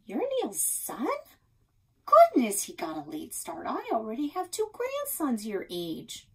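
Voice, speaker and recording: A woman's voice: a little high, wildly swooping pitch, measured pace, a bit bright, slightly rough, voice pushed up, exaggerated voice. An American woman voicing a chatty, gossipy small-town grandmother who runs a shop, in a storybook read-aloud. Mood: flustered.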